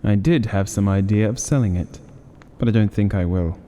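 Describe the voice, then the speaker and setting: A man voicing a gruff old sergeant major, slow, solemn and dogged, as an audiobook character. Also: on the low side, mellow, close to an everyday voice. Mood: slow, weary. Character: grave, gruff old soldier, a sergeant major back from India. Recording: long reverberant hall-like echo.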